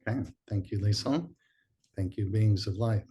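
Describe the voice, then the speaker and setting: A man hosting a group session who sounds congested from a sinus infection and tells stories in a relaxed way, with some uhs and repeated words. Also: on the low side, moderate pace. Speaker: host. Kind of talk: casual spoken talk to a group.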